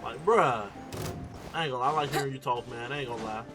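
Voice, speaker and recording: A man's voice: medium pitch, quick pace. Male game streamer, mid-range voice, casual and slangy reaction commentary, music behind. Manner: informal, slangy. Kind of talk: casual playthrough commentary, reading dialogue aloud.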